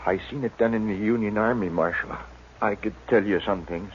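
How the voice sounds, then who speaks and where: An actor playing a stoic, gravely ill frontier father, weak and halting, in a 1950s radio western. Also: fairly low pitch, lightly acted. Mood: hesitant. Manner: halting, resigned. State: weak, feverish, as acted. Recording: dull, faintly noisy vintage radio recording.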